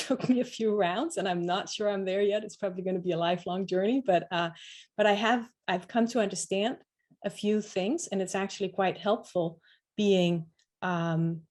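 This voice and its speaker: A woman's voice: mid-range voice, a little mellow. A woman from Denmark, speaking as a panelist in an online discussion, reflective and often restarting her sentences. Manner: reflective, searching for words with many restarts.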